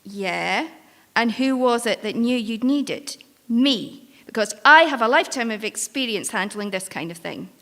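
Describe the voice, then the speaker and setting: In an echoing hall, an actress plays a protective, world-weary woman among a ship's crew in a live drama. Her voice is fairly high with a strong gravelly fry, and she speaks in a wry, coaxing, reassuring way. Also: expressive, normal speed, a bit bright. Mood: firm, reassuring. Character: world-weary, protective female crew member mentoring a machine. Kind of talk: live staged drama reading. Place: live on stage in an arts venue. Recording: reverberant hall, live stage performance.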